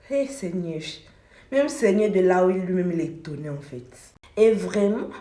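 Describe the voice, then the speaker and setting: Emphatic young woman vlogging in an echoey room. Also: medium pitch. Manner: emphatic, repetitive, animated storytelling. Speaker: beauty vlogger. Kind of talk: casual vlog monologue. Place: room at home while doing makeup.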